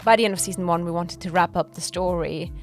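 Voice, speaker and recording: A woman's voice: fairly low pitch, fast, faint music behind. A woman co-hosting a casual podcast as a producer, explaining and clarifying points for the listeners. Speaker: podcast co-host, executive producer.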